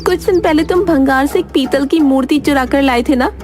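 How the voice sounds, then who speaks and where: A woman's voice: high-pitched, a little fast, a little mellow, faint music behind, close to an everyday voice. A woman playing a cunning, boastful village wife who talks in a sly, pushy, persuasive way. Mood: scheming.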